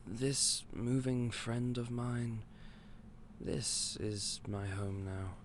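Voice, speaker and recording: A man playing an eccentric, otherworldly candy store owner in an audio roleplay, polite and gentle but a little scatterbrained. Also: mid-range voice, steady tone, drawn-out words, background noise. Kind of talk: scripted audio roleplay to the listener.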